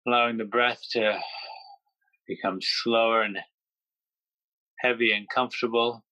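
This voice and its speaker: A man guiding a yoga nidra meditation, speaking slowly and gently with pauses between phrases. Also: mid-range voice, flat delivery, no room sound, highs cut off. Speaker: meditation guide.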